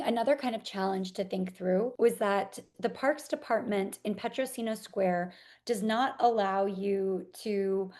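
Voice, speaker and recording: A woman artist answering interview questions in a thoughtful, articulate way, explaining things in measured detail. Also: medium pitch, a little mellow.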